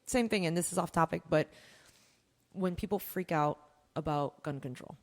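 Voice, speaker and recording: A woman's voice: lowish voice, normal speed. An American woman co-hosting a podcast, giving blunt, incredulous opinions in casual conversation. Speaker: podcast co-host. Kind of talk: casual political chat. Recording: long echoing reverb.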